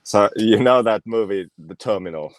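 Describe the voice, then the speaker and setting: A man's voice: lowish voice, normal speed, no echo. A man from Finland co-hosting a casual podcast, laid-back and a bit hesitant, often chuckling. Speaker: podcast co-host. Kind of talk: casual chat.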